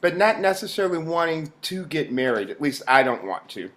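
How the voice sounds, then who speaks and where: A man speaking as an actor in a reflective interview, in a halting, thoughtful way with frequent pauses. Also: a little high, sing-song, a bit bright.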